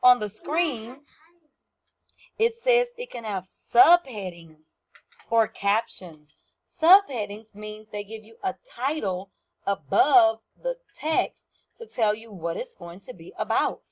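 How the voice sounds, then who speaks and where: A woman with a fairly high voice speaks as a first-grade teacher. She goes very slowly through a lesson for young children, explaining in simple terms. She repeats key points and puts questions to her listeners. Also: expressive.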